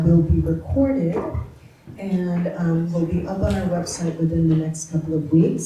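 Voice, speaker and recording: A woman introduces the next speaker at a community event, hesitant and full of fillers. Her voice is dark and mellow and rather low. She is picked up from a distance in a very echoey room with faint noise. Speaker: event host introducing the moderator.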